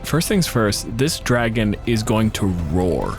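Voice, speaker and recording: A man's voice: lowish voice, very animated, takes it slowly. A man running a tabletop fantasy game, moving between dramatic descriptive narration and casual, sweary table talk. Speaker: game master. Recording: loud music bed behind voice. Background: background music.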